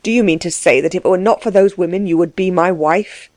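A woman's voice: on the low side, quick pace, darkish tone, no echo, studio quality, lightly acted. A woman voicing an impassioned, insistent man pleading for love in an audiobook story. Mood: incredulous, hurt. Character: lovesick man, intense, pleading, insistent, hopeless.

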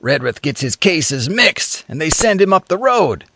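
Male reader as a sardonic drawling yarn-spinner, slangy, lively pitch, dry close sound. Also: measured pace, dry recording, full character voice. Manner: folksy slangy storyteller. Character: cynical drawling man, rasping chuckle.

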